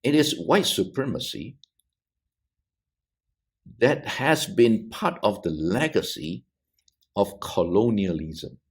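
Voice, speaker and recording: This is a middle-aged man from Malaysia who speaks very slowly and keeps stopping to restart his sentences. His low-ish voice swings widely and expressively in pitch. He sounds like a theologian working through an idea on a panel. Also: lively intonation. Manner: halting, with many restarts and repeated words. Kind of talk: thoughtful discussion on a video podcast.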